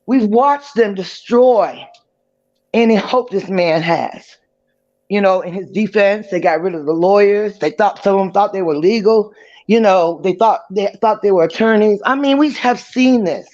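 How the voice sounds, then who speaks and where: A man's voice: sits high, moderate pace, a little mellow, lo-fi sound. A man from the South, giving casual, emphatic commentary and repeating phrases for effect. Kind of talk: casual opinionated panel commentary.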